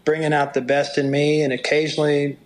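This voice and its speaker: A middle-aged man with a low voice, talking through stories in an interview and sometimes quoting others in a livelier tone. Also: little pitch movement. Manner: unhurried, storytelling, quotes others in a livelier voice. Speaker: motocross broadcaster. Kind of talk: reflective interview talk.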